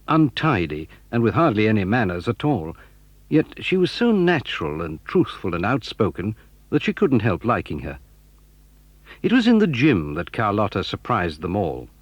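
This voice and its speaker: A man's voice: lowish voice, no room sound. A man with a British accent reading scripted storybook narration for an audio dramatisation. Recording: dull, lo-fi old audio recording.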